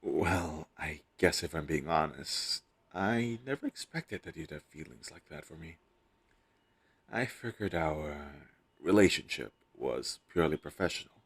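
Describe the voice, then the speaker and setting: A secretly heroic hostage acted by a man in an intimate audio roleplay. His voice sits very low, with heavy creak and a husky grain. He teases wryly and flirts coyly, leaving small hesitant gaps. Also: animated, a little slow, exaggerated voice. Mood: shy, tender. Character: captured superhero posing as a hostage, teasing, flirtatious, coy.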